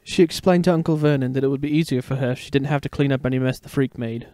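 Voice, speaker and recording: A man's voice: medium pitch, fairly even intonation, fast talker, dark tone, no room sound, studio quality, lightly acted. A man voicing a playful, protective young father in a dramatic story reading. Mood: reading aloud. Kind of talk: dramatic fan fiction reading.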